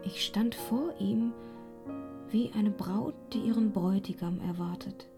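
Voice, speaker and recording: An actress playing a sorrowful young woman who narrates in a hushed, intimate radio play style. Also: mid-range voice, lightly acted. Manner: hushed, slow, deliberate. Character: sorrowful young woman quietly recounting her ordeal. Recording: guitar and piano music behind. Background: guitar and piano.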